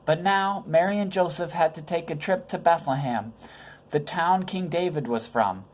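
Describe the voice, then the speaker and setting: Low-voiced woman reading a children's story. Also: normal speed, darkish tone, poor audio. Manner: informal, conversational, simple wording as if to a child. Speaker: storybook reader. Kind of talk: reading a children's Bible story aloud with casual asides.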